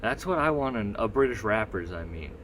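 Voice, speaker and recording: A man's voice: lowish voice, lively intonation, a little fast, fairly bright tone, a little hiss or hum. A man with a Northeastern US accent rambling through a casual solo livestream, swearing freely and reading the chat aloud. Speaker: livestream host.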